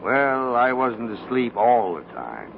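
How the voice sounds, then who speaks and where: A man's voice: mid-range voice, very animated, slow, creaky, phone-quality sound, a little hiss or hum, heavily acted. An actor playing a genial, cagey older man posing as a humble clerk, in a 1940s radio drama on a thin old recording. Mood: genial, evasive.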